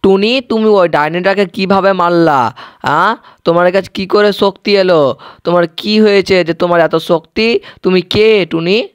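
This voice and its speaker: Cartoon village lad, played by a man: lonely, kind-hearted, plain earnest delivery, slightly high voice. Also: a little slow, smooth, no room sound. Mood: amazed. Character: kind, lonely young orphan village boy. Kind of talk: amateur cartoon story voicing.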